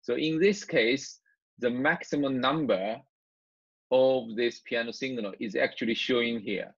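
A man who speaks English as a second language explains software step by step as a lecturer in a screencast tutorial, informal and halting, repeating himself. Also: medium pitch, slightly rough, no echo. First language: not English. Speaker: university lecturer. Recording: dull, muffled lo-fi microphone.

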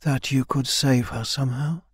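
A man voicing an ancient, weary female elder, a grave mentor speaking in a quiet, measured way in a fantasy audiobook. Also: medium pitch, fairly even intonation, breathy edge, no echo, clean studio sound.

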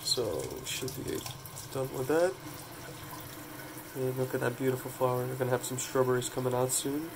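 A man with a stuffy, congested voice talks through a home gardening task at a kitchen sink. His delivery is flat and even, halting often to restart words. A faint running tap hisses behind him. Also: medium pitch. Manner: relaxed, halting, with restarts and self-corrections. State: stuffy from a cold. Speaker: hydroponics gardening channel host. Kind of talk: casual how-to demonstration. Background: running tap water.

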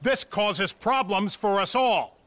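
An actor playing a scheming, exasperated federal agent in a video game, measured and placating as he reasons. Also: sits high, expressive, fairly bright tone, full character voice. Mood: pleading, tense.

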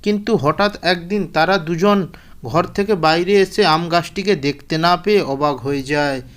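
Male narrator for a children's cartoon fable, notably high voice, scripted storybook read. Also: normal speed, a bit bright.

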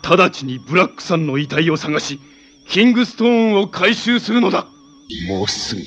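A man's voice: high voice, gravelly, a bit bright, exaggerated voice. A man playing a haughty armored villain from a tokusatsu TV show, heir to an evil empire, making grandiose, commanding, theatrical declarations. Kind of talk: Japanese tokusatsu TV villain acting. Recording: clip from 1980s TV with music behind.

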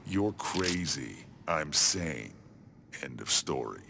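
A big, world-weary demon brawler from a fighting game. The voice is very low and full of gravel. He talks slowly and deadpan, over clear background noise. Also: heavily acted. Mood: gruff, unimpressed. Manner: deadpan, unhurried. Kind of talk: video game character dialogue.

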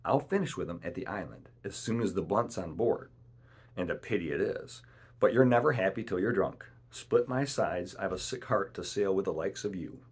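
A man's voice: fairly low pitch, breathy edge. A man voicing a cunning, domineering pirate ship's cook in a storybook, with boastful, wheedling, rustic seafaring speech.

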